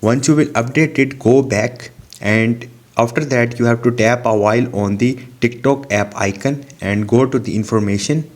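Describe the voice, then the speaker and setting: A man's voice: medium pitch, moderate pace. A man narrating a short how-to tutorial in an instructional, step-by-step way, with non-native English phrasing. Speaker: tutorial host.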